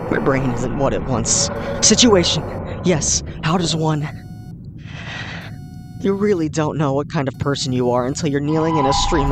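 An actor playing a frightened, unhinged technician in an audio drama, halting and agitated as he speaks. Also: lightly acted. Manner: halting, agitated, repeats himself. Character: terrified, unhinged radio technician fleeing aliens. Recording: very noisy, rumbling background.

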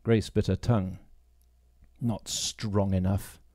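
A man's voice: fairly low pitch, flat delivery, takes it slowly, dark tone, no room sound. Man narrating fiction, dry close sound. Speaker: audiobook reader, actor.

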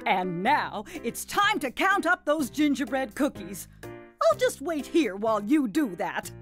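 High, sing-song jolly cartoon granny. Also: female voice, very animated, measured pace, faint music behind. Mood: excited, congratulating. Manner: sing-song, gushing, doting. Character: jolly, plump, grandmotherly woman hosting a children's game. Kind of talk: animated children's game hosting.

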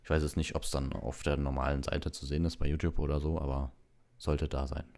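A young adult man in a relaxed style, reading a bedtime story or chatting casually as a livestreamer. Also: a bass voice, a little fast. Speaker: audiobook reader and livestreamer. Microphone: ordinary plugged-in microphone.

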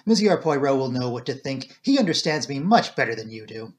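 A man voicing a knowing, sharp-tongued woman, the narrator's sister, in an audiobook, quiet and pointed. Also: medium pitch, very animated, brisk, lightly acted.

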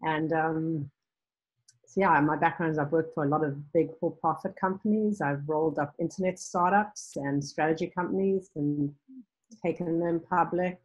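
A woman's voice: deep voice, steady tone, normal speed, husky, muffled recording. A middle-aged woman from Africa, a guest on a video call interview, talking thoughtfully with frequent ums and restarts. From South Africa. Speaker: guest, nonprofit founder. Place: home room with bookshelves.

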